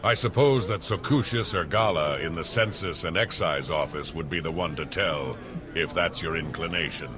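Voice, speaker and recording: A man's voice: sits low, moderate pace, over music, lightly acted. A man playing a shady, conspiratorial townsman in a video game. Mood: guarded. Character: shady townsman quietly offering an illicit errand. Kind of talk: video game character dialogue.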